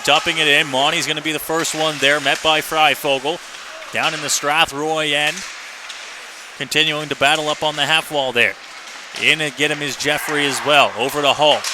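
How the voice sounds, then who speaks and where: A man giving live radio play-by-play commentary of a hockey game. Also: a little high. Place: commentary position in an indoor hockey arena. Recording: noisy arena ambience behind.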